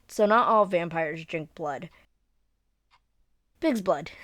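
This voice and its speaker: A woman plays a friendly young female werewolf, second in her pack, in an audio drama. Her voice is on the low side and unhurried, recorded dry and close to the room's silence. She explains things informally, rambling and getting a little flustered as she goes. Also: no echo. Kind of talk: scripted one-sided roleplay audio drama, conversational.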